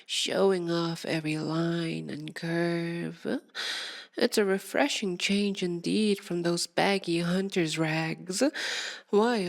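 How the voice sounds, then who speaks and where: A woman's voice: on the low side, vocal fry. A woman playing a seductive, possessive, sadistic vampire aristocrat in an ASMR audio roleplay, with a theatrical, purring, teasing formality. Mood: admiring, seductive. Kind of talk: ASMR roleplay, dramatic monologue to listener.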